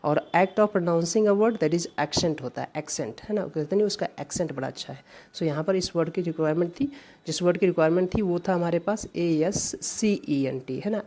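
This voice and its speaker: A woman from India teaching English grammar for exams, going through questions one by one and reading each example sentence slowly and deliberately. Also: fairly low pitch, warm tone.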